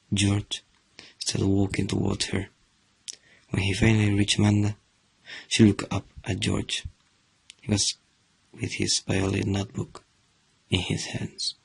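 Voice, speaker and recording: A man's voice: fairly low pitch, creaky, breathy edge, full character voice, poor audio. A teenage male student with Spanish as his first language, reading scripted story narration for a radio drama. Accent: Chilean. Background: faint music.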